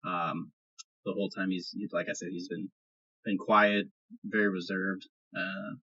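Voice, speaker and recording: A man narrating a tabletop game as game master, improvising in a halting way and repeating phrases. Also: low-pitched, level tone, pushed and bright, slightly rough, highs cut off.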